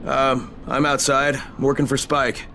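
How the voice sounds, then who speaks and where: A man's voice: medium pitch, flat delivery, a little slow, noisy background, lightly acted. A man playing a capable, wary undercover operative in a video game, talking in a terse, plainspoken way. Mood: businesslike. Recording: loud music underneath.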